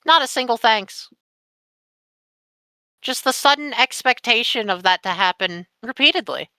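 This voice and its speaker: A nineteen-year-old woman telling a painful personal story on a podcast, candid and sweary with heavy pauses. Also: fairly high pitch, animated, sharp tone, no room sound. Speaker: podcast guest.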